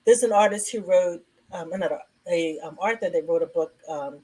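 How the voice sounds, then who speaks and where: A woman hosting a casual interview, chatty and enthusiastic, often pausing with "um" as she searches for words. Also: on the low side, mellow, breathy edge, poor audio. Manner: chatty, hesitant, fills with frequent ums. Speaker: host. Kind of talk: casual chat.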